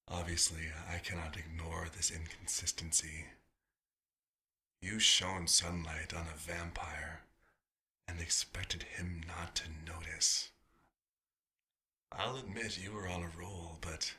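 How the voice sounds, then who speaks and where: A man playing a nostalgic, proud vampire doctor in an ASMR roleplay, musing theatrically and slipping into breathy whispers. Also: sits low, sharp tone, heavily acted. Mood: menacing, indignant.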